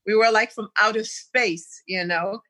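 A woman's voice: medium pitch, a little slow, bright, forceful tone. A woman in her fifties from Israel, a diplomat being interviewed, speaking thoughtfully and restarting often as she searches for words. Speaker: diplomat guest. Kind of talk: podcast interview.

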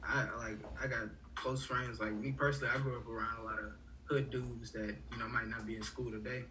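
Bright-voiced young man, slightly distant. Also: mid-range voice, flat delivery, a little fast, a little hiss or hum. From Haiti. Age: young adult. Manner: rambling, many fillers and restarts. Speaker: college student guest. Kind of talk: casual conversation.

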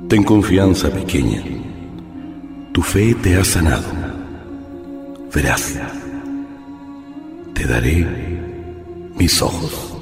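Radio drama: solemn, tender godlike father figure, slow and cavernous in echo, music underneath. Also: sits low, expressive, gravelly, heavily acted. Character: voice of Jesus, gentle, solemn divine father figure. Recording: echoing voice over music.

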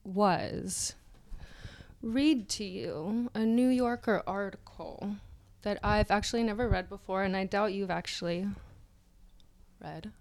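A young woman co-hosts a casual podcast, gossiping about celebrities with frequent giggles. She talks slowly and drawls her words. Her voice is smooth and clear. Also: medium pitch. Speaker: podcast co-host.

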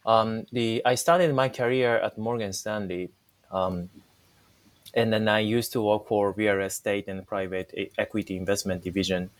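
A man's voice: on the low side, fairly even intonation, normal speed, dry recording. A man in his forties with a Japanese accent, speaking English as a non-native, answering interview questions as a company founder with frequent hesitations. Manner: hesitant, many fillers and restarts.

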